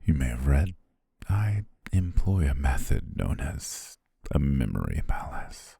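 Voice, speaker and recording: A man playing a courteous, devious hypnotist in an ASMR roleplay, speaking in a soothing, breathy whisper with genteel, deliberate pauses. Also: sing-song, mellow, no room sound, clean studio sound, heavily acted. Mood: smooth, reassuring. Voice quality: whispery, breathy, very low and gravelly. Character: devious, courteous male hypnotist welcoming a client.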